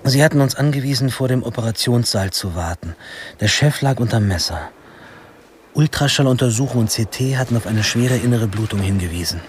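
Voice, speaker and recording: A man's voice: medium pitch, animated, fairly quick, natural voice. An actor playing a wry teenage boy who narrates his family story in a radio drama, dry and observant, over ambient noise. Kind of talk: scripted first-person radio drama narration. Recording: clear background ambient noise.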